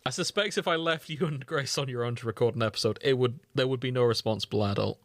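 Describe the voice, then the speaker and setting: A man's voice: medium pitch, fast talker, no room sound. A man co-hosting a podcast in casual chat, teasing and swearing freely. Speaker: podcast co-host.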